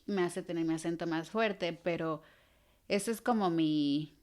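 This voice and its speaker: A woman's voice: lowish voice, unhurried, darkish tone. A Mexican woman in her thirties, a podcast guest talking in an earnest, easygoing way that often trails off and restarts. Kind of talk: casual interview chat.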